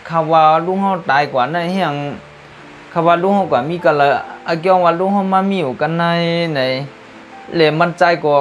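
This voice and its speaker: A man's voice: on the high side, fairly quick, soft background music. A man telling a folk tale aloud in a loose, rambling way, with many fillers. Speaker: storyteller.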